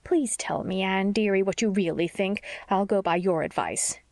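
A woman voicing a blunt, sharp-tongued older spinster in an audiobook story, with a brisk, scolding manner. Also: mid-range voice, no echo, clean studio sound, close to an everyday voice. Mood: tart.

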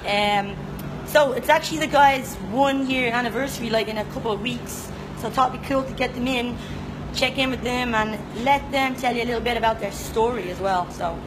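A woman's voice: on the high side, brisk. A woman hosting a casual live podcast with trainers, chatty, with frequent ums and laughs. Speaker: podcast host. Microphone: live stream cameras in a gym. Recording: loud background noise.

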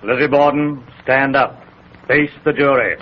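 A man's voice: mid-range voice, fairly even intonation, unhurried, close to an everyday voice. A man playing a formal, commanding courtroom judge in a 1930s radio drama. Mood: stern. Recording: muffled 1930s radio recording, slight noise.

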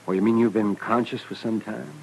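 A man's voice: on the low side, steady tone, a little fast, vocal fry, a little mellow, poor audio. A male actor playing a dry, frank frontier town doctor in an old radio western. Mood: dry, reassuring. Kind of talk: old time radio drama acting. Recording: hissy vintage radio recording.

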